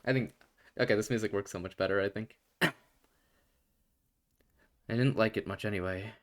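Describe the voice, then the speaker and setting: A man streaming a story game, reading aloud quietly and chatting with his viewers. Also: moderate pace. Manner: quiet, low reading voice that breaks into loud laughing chatter.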